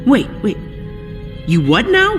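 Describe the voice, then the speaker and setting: A man's voice: high voice, huge pitch swings, slow, fairly bright tone, heavily acted. A man voicing a sarcastic, suspicious, blunt teenage reformed bad boy in a video game. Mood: suspicious, insistent. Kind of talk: video game cutscene acting. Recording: long reverb with music behind.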